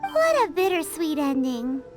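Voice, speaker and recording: Tiny fairy game sidekick: childlike, extremely high, hugely swooping, excitable and chatty. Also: female voice, a little slow, music in the background. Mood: cheeky. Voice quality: squeaky, childlike. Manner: exclamatory, speaks of self in third person. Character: small excitable childlike floating fairy companion, cheeky and chatty. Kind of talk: video game voice acting.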